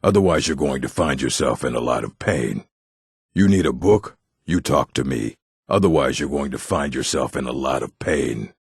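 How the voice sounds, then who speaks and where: A man voicing a gruff, stern orc for a video game, with a gravelly, husky voice and a clipped, commanding manner. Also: rumbling low, expressive, normal speed, no echo, studio quality, exaggerated voice. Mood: stern, threatening. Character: gruff male orc, stern bookish keeper and warrior. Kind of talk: video game character voice acting.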